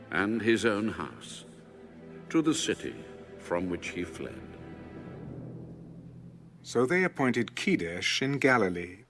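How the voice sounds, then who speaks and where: Male scripture narrator, lower register, formal scripted read with music clearly underneath. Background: background music.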